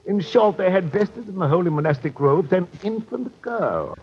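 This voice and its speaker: An actor playing a genteel, roguish British captain, pompous and long-winded, in an old radio detective drama. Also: male voice, high voice, animated, moderate pace, slightly rough, heavily acted. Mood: pompous storytelling. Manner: pompous, blustering, flowery phrasing. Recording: muffled old radio recording, faint noise.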